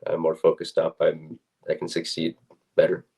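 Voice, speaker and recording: A man's voice: extremely low pitch, monotone, normal speed, gravelly, dark tone, slightly rough. A young man, a student athlete, giving casual, plain-spoken and somewhat hesitant interview answers. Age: young adult.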